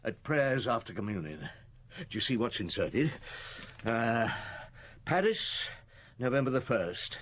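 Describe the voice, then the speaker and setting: An actor in a radio drama playing a brooding police chief inspector, deliberate and questioning, thinking aloud. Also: male voice, medium pitch, big pitch swings. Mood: probing. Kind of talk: BBC radio drama acting. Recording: noisy old radio broadcast.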